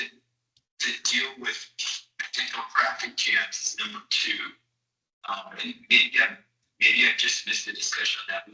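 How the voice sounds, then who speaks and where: A man, a board member, raising a point of inquiry at a city meeting, hesitant and halting with "um". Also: medium pitch, bright, forceful tone. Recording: echoey room, mic at a distance.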